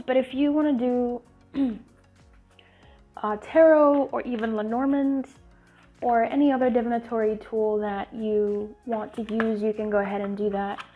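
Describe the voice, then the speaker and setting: A chatty woman with a somewhat high, sniffly voice talks through a tutorial, rambling and restarting her sentences. Her voice comes through a tinny, phone-like line, and soft music plays underneath. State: sniffly, congested voice. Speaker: channel host. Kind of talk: casual tutorial chat.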